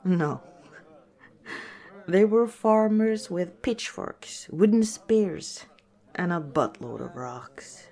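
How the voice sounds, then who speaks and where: A woman voicing a gentle, wistful female orc tavern owner in a soft-spoken, hesitant ASMR roleplay. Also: lowish voice, animated, extremely slow, full character voice. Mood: resigned, gently amused.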